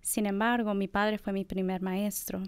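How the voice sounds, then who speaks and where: A woman's voice: mid-range voice, level tone, normal speed, clean tone, dry recording, studio quality. A middle-aged woman, a professor, speaking thoughtfully in an interview with many fillers. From United States. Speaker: assistant professor of bilingual education. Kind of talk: conversational interview.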